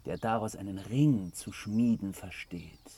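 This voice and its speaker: An actor playing a sly, evasive trickster god in a fantasy radio drama, with a wily, teasing, theatrical delivery. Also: male voice, on the low side, measured pace, mellow, breathy edge. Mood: seductive, secretive.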